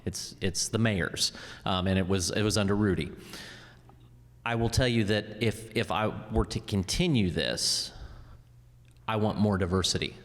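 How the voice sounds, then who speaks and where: A man speaking as a council member at a public meeting, halting and repeating words as he thinks aloud. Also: fairly low pitch. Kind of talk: unscripted meeting remarks. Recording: reverberant hall.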